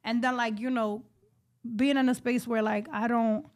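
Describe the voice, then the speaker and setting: A woman's voice: fairly high pitch, little pitch movement, moderate pace, darkish tone. A woman in her late thirties, a podcast guest talking openly in casual chat and leaning on filler phrases. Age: 30s. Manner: chatty, open, lots of filler phrases.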